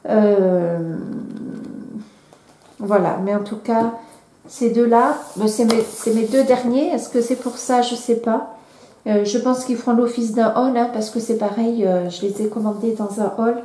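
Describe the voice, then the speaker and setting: A woman's voice: mid-range voice, warm tone. A woman vlogger with a cold, chatting casually and hesitantly with many fillers and restarts. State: has a cold. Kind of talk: casual chat. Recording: echoey room.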